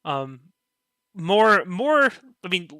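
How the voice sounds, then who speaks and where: Bright-voiced man in a dry, close recording. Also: high voice, big pitch swings, very slow, no echo. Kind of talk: casual podcast discussion.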